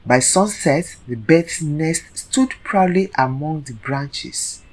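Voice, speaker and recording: Slow, dramatic woman storyteller. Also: low voice. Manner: dramatic, deliberate storytelling delivery. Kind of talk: scripted folktale narration.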